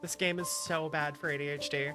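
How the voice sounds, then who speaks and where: A playful, chatty woman streaming a game, laughing often as she plays. Her voice sits a little low. Game music plays clearly in the background. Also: steady tone, brisk. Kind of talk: casual let's-play commentary.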